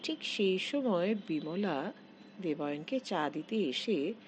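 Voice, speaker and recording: A middle-aged woman narrates a short story as its author. Her delivery is unhurried and expressive, with pitch that rises and falls widely. A faint noise sits behind the voice. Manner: expressive, measured recitation. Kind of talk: scripted literary story narration.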